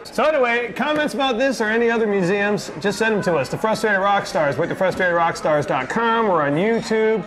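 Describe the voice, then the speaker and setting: A man with a high voice chats as co-host of a music podcast. His talk is casual and opinionated. He is emphatic, often repeating a phrase to press his point. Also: expressive, a little fast. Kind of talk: casual opinionated banter between co-hosts.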